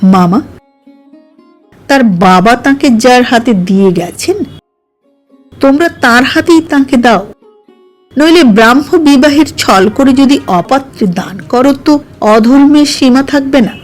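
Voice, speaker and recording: A woman's voice: high voice, lively intonation, measured pace, long pauses, faint music behind. A woman voicing a persuasive young niece in an audio story, reasoning insistently and with conviction. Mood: earnest persuasion.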